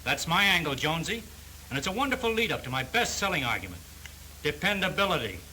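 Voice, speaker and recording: A man's voice: on the high side, very animated, quick pace, bright, forceful tone, exaggerated voice. An actor playing a folksy, self-confident older salesman in a 1930s training film, speaking in a slangy way. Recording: hissy, noisy 1930s film soundtrack.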